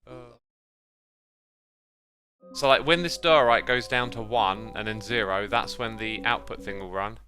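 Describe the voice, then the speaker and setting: A man's voice: lowish voice, unhurried, long pauses, music in the background. A man hosting a gaming video, chatting casually and explaining a build step by step. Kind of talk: casual chat while playing and explaining a game build.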